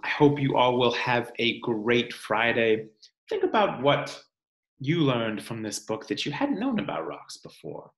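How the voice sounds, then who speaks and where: A man reads a picture book aloud in an expressive, deliberate, teacherly way. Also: medium pitch. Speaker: children's read-aloud presenter. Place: indoor room at home. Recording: roomy home webcam sound.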